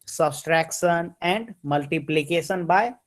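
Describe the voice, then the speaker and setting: A man's voice: a little high, measured pace. A man with an Indian accent teaching a maths class online over a computer mic, switching between English and Hindi and repeating his points.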